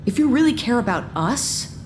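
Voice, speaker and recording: A woman's voice: on the high side, very animated, normal speed, a little hiss or hum. An actress playing a determined, hopeful woman in an audio drama, arguing in an earnest and insistent way. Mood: impassioned pleading. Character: determined, hopeful woman urging a trapped companion to escape. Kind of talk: naturalistic audio drama acting.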